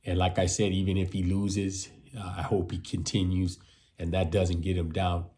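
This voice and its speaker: A man's voice: deep voice, monotone, moderate pace, warm tone. A man in his fifties giving rambling casual commentary, repeating himself and ending lines with "man". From United States. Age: 50s. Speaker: boxing channel host.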